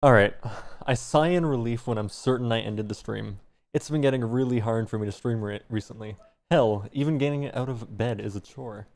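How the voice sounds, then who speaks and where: A man's voice: medium pitch, normal speed, a little mellow. A man streaming, reading fan fiction aloud with some embarrassed amusement.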